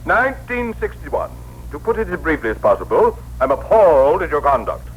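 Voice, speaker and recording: An actor playing a fussy, officious director of a celestial bureau, with pompous, formal diction, in 1960s radio drama style. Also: male voice, on the high side, very animated, a little fast. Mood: pompous, appalled. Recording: noisy vintage radio broadcast recording.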